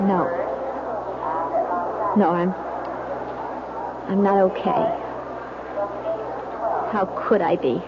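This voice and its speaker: An actress playing a frightened, distrustful married woman in an old-time radio mystery, speaking haltingly and anxiously. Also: lowish voice, heavy background noise. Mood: distressed. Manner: halting, anxious, breathy murmurs to herself. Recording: dull old radio recording with music.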